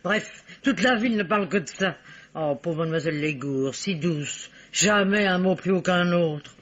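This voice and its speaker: Actress as gossipy seamstress, dull old recording. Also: female voice, on the low side, moderate pace, lightly acted. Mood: excited gossip. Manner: chattering, exclamatory. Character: gossipy working-class seamstress, chatty and excitable. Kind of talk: old French radio play acting.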